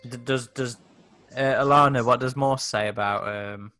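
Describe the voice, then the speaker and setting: A man's voice: mid-range voice, steady tone, moderate pace, bright, forceful tone. A man playing a tabletop game, debating casually and often repeating his first words as he objects.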